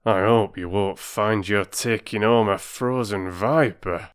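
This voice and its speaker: A man's voice: on the low side, unhurried, clean tone, no echo, clean studio sound, close to an everyday voice. A man voicing a doubtful, curious male station master with a folksy manner in a storybook reading.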